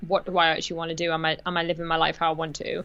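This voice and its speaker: Woman, thirties, lowish voice, friendly guest, lo-fi headset call audio. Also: monotone, racing, fairly bright tone, smooth. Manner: friendly, laughs easily, many fillers like "like" and "obviously". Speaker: music PR guest. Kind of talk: casual interview chat. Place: home room. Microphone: headset, video call. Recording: dull lo-fi video call audio.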